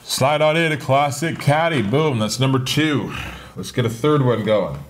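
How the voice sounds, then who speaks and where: A man's voice: medium pitch, expressive, moderate pace, background noise. A man hosting a live-stream auction, repeating himself and hyping each item in an upbeat patter.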